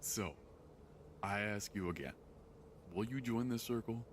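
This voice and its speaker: A man acting a grave, patient mentor of a secret order in an audio drama, speaking in measured, formal phrases. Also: lowish voice, animated, slight background noise. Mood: solemn, probing. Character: solemn, patient male mentor of a secret circle.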